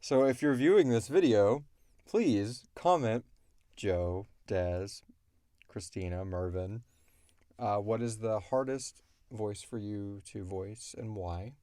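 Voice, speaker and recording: Low-voiced man chatting in a dry booth. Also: takes it slowly, dark tone, no echo. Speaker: podcast host and voice actor. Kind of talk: casual chat. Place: recording booth.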